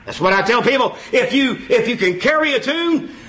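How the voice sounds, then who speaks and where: A man preaching an informal sermon in a church, folksy and self-mocking, repeating his phrases and exclaiming loudly. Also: very high voice, expressive, normal speed.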